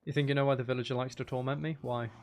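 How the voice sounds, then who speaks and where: Creaky-voiced man casually streaming a game. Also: medium pitch, level tone, very fast. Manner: thinks out loud, sweary, banters at game characters. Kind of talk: casual gameplay commentary with chat.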